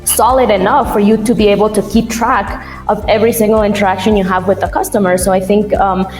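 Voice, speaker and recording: A woman's voice: medium pitch, steady tone, fast, darkish tone. Young woman from Ecuador, echoey room. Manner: informal, chatty. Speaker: interview guest, CRM manager. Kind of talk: casual interview answers. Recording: echoey room, music behind.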